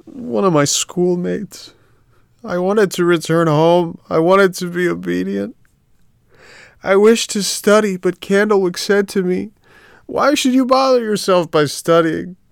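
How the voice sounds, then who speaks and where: A man voicing a frightened, tearful wooden puppet boy with a high, whining voice in a storybook reading. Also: measured pace, creaky, smooth, no room sound, clean studio sound. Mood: pleading.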